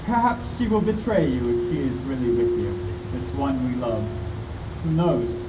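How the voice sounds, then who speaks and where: An actor playing a grave, commanding vampire-hunting professor with emphatic, theatrical radio-play delivery. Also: male voice, medium pitch, animated, normal speed, close to an everyday voice. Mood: hushed, probing. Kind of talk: 1930s-style radio play acting. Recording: echoey hall, distant mic, background noise.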